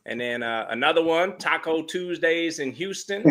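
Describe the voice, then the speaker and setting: A man's voice: a little high, expressive, normal speed, fairly bright tone. A man hosting a livestreamed podcast, chatting casually and reading viewer comments aloud in an upbeat way. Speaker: podcast host.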